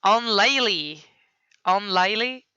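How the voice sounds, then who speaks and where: A woman's voice: mid-range voice, lively intonation, slow, bright, forceful tone, clean tone, no room sound, muffled recording. A woman with a Swedish accent, streaming and chatting casually as she reacts to fan videos, often laughing and apologizing as she stumbles over names. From Sweden. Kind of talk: casual live-stream commentary.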